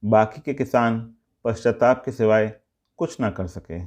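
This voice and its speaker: Slow, low-voiced man narrating a story. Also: darkish tone. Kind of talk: scripted moral story narration.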